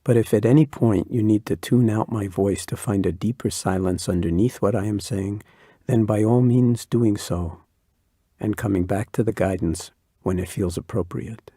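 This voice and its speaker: Mellow, breathy-voiced male meditation guide. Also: lowish voice, no room sound, studio quality. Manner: slow, soft-spoken and soothing. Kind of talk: guided body scan meditation.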